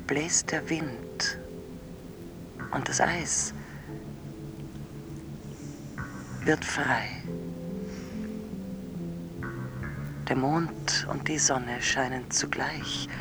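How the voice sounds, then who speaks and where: A spacey naive caller on night radio, really slow and halting, kinda trance-like, faint music. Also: female voice, rumbling low, heavily acted. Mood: dreamy, entranced. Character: dreamy, literal-minded anonymous female caller. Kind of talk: radio drama acting.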